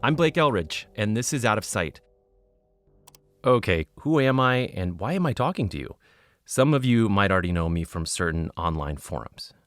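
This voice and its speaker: Skeptical male paranormal investigator, American, low register, casual wry podcast narration for an audio drama. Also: big pitch swings, normal speed, fairly bright tone. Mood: confiding. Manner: casual, confiding, wry, swears. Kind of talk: scripted narration and field recording in audio drama.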